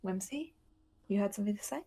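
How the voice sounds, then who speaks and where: A woman hosting a podcast, talking casually and informatively in a halting, matter-of-fact way. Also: medium pitch, expressive, mellow, slightly rough. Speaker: podcast host.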